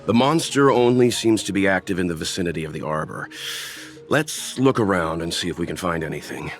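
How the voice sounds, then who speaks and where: An actor playing a calm, analytical, mature scholar adventurer in a video game, speaking in a measured, thoughtful way. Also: male voice, low voice, faint music behind. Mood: calm, pondering.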